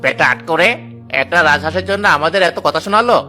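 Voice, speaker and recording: A man's voice: on the high side, fast talker, pushed and bright, faint music behind. A man playing a greedy, scheming village bully in a cartoon, with a taunting, domineering manner. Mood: angry, scheming. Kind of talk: Bengali cartoon story dialogue.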